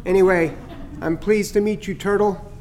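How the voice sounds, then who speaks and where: A man's voice: high voice, steady tone, darkish tone, voice pushed up. A man voicing a motor-mouthed, cheeky male starling who rambles and jokes. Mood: chatty. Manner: rapid, jokey, rambling.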